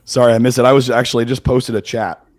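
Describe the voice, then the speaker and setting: A middle-aged man with a hoarse voice hosting a casual livestream, talking in halting bursts with many restarts. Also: mid-range voice, little pitch movement, dry recording. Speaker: host.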